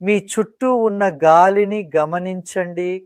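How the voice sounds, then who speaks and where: Calm meditation guide in a dry studio sound. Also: mid-range voice, smooth, no echo. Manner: calm, soothing, measured instruction with pauses.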